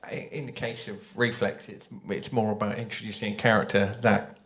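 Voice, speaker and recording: A man speaking off the cuff as a writer on a live panel, thoughtful and halting, talking into a microphone. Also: on the low side, flat delivery, fairly bright tone. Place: live panel at a games festival. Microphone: handheld or shared panel microphone.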